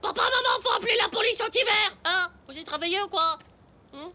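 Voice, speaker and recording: A woman playing an excitable, dramatic young schoolboy in a French animated comedy, whiny and exaggerated. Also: extremely high pitch, brisk, a little hiss or hum, exaggerated voice. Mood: panicked. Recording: loud music behind.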